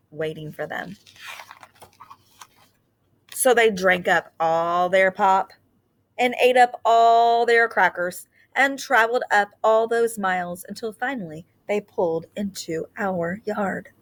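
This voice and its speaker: Female read-aloud teacher, mid-pitched, expressive and deliberate storyteller for young listeners. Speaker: teacher reading aloud to children. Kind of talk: picture book read-aloud.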